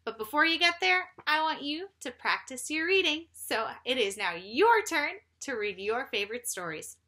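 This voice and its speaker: A woman reading a children's story aloud like a teacher, with deliberate, emphatic phrasing for young listeners. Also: high-pitched, big pitch swings, pushed and bright.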